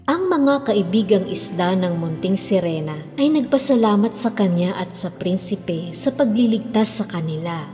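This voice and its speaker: A woman's voice: mid-range voice, expressive, moderate pace. A woman narrating a children's fairy tale as a storyteller, in a scripted style. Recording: long reverb, music behind.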